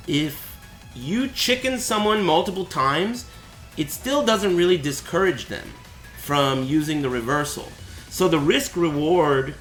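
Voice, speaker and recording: A man with a raspy, cracking voice, teaching a fighting game on a live stream in a casual, explanatory way. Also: a little high, fairly bright tone, reverberant. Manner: chatty, explanatory, asks "right?" often.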